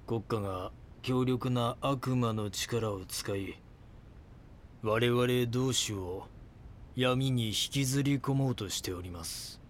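Male actor as cult guru, very slow delivery, mid-pitched, calmly commanding, pompous, messianic, audio drama. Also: a little hiss or hum, close to an everyday voice. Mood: solemn, prophetic. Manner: slow, pompous, preacher-like polite pronouncements.

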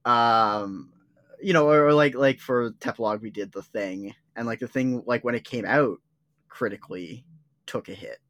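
A man's voice: mid-range voice, moderate pace, fairly bright tone, no room sound. A man co-hosting a podcast, chatting casually about movies with many fillers like "like" and "uh". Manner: rambling, lots of "like" and "uh". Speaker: podcast co-host.

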